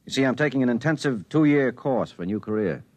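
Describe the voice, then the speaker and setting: Earnest, insistent professional man played by a male actor, lively pitch, vintage radio drama delivery. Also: fairly quick, no echo, lightly acted. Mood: earnest, resolute. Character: determined man quitting his job for a new career. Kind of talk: 1950s radio drama acting.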